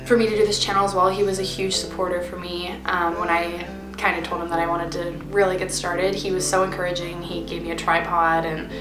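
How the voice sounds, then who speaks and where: A woman's voice: lowish voice, little pitch movement, fairly quick. A young woman in her twenties chatting casually to camera as a vlogger, bubbly and rambling with fillers, sitting in her bed at home. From Pennsylvania, USA. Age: 20s. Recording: roomy echo with music behind. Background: music.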